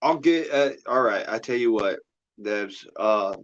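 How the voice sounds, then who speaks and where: A man's voice: medium pitch, animated, a little slow. Folksy American man, dull lo-fi sound. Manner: blunt, folksy, profane. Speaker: livestream host. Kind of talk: rambling casual monologue. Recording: dull, lo-fi audio.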